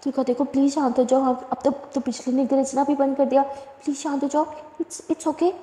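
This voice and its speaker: A woman voicing an anxious, caring young woman who softly pleads and soothes, repeating herself. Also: sits high, level tone, normal speed, natural voice. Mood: soothing. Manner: soft, pleading, repeats itself. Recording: echoey reverberant room.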